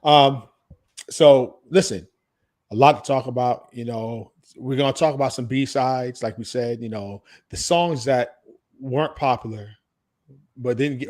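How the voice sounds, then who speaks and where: Male podcast co-host, informal and slangy, mid-pitched voice, loose conversational delivery. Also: darkish tone. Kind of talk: casual podcast chat.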